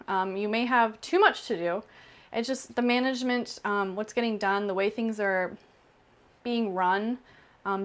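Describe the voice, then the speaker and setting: A woman's voice: medium pitch, fairly bright tone. A woman in her thirties, an entrepreneur, talking casually and candidly to camera with lots of "um" and "like" and emphatic repetition. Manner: chatty, frequent "um" and "like", candid and emphatic. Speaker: entrepreneur in Okinawa. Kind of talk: casual personal talk to camera.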